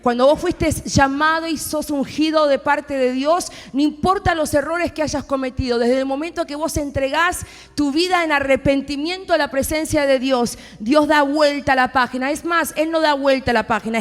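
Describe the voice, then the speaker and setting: A woman's voice: high voice, normal speed. A woman in her forties from Argentina with an Argentine accent, preaching a personal testimony as a pastor's wife in church. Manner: personal storytelling, rising to emphatic declarations. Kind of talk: sermon testimony. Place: church sanctuary. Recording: long hall reverb with faint music.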